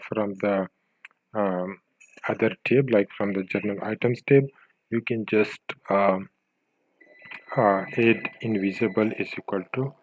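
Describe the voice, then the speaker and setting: A man narrating an informal software tutorial, thinking aloud with a hesitant delivery as he demonstrates. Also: lowish voice, dry recording, poor audio.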